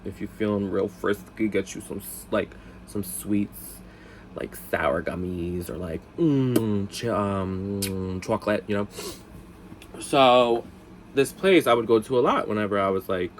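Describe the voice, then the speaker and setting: A man with a lowish voice, a little stuffed up from a cold, talks his way through a casual storytime at home. He rambles, drifts off topic and teases himself along the way. A faint hiss sits under the voice. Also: measured pace. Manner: chatty, rambling, self-mocking asides. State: congested from a cold. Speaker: vlog host.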